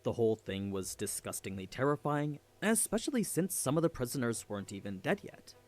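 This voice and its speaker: Animated male narrator with a lively pitch. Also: fairly quick. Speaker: narrator of a story recap video. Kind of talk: scripted narration.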